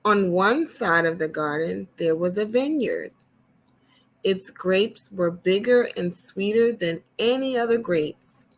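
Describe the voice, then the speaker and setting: Slow, deliberate woman reading to children. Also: medium pitch, animated. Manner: deliberate, emphatic reading with chatty asides. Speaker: storytime host and reader.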